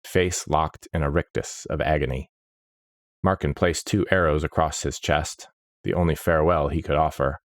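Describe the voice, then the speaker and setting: A man narrating a dark fantasy story in a measured, grave manner. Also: a bass voice, dry recording. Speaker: audiobook narrator.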